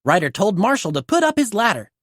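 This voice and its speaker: A woman narrating a children's storybook aloud in an animated read-aloud style. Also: mid-range voice, quick pace, dry recording, studio quality. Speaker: storybook reader.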